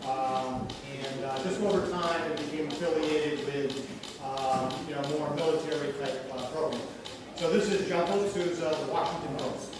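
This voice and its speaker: A man introducing band pieces at a public ceremony in a grange hall, talking haltingly with many fillers. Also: on the high side, far from the mic, noisy background. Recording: very echoey hall, heard from afar.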